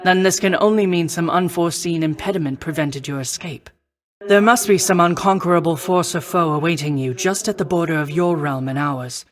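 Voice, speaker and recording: A woman playing a noble, protective war goddess in a video game, speaking in formal, measured, old-fashioned phrasing. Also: on the low side, natural voice. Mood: warm, reassuring. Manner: formal, measured, archaic stately diction. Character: noble female war goddess, poised, protective, confident mentor. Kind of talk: scripted video game voice acting.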